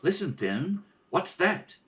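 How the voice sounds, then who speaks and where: A man's voice: high voice, sing-song, takes it slowly, fairly bright tone, far from the mic, full character voice. A man playing a bold young hero in an old-time radio adventure serial, earnest and urgent. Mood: tense, urgent. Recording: roomy home recording, off the mic.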